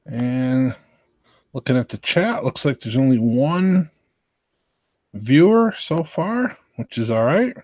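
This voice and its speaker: An American man casually hosting a livestream in a low-key, halting way. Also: lively intonation, darkish tone, dry recording. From southern Virginia, United States. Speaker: livestream host.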